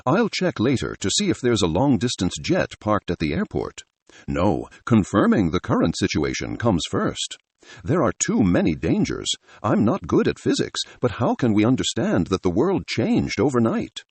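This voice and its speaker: A weary, practical stranded pilot in an audiobook adventure, played by a man. The voice is low and husky, with creak in it and lively swings of pitch. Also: moderate pace, no echo, exaggerated voice. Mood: cautious.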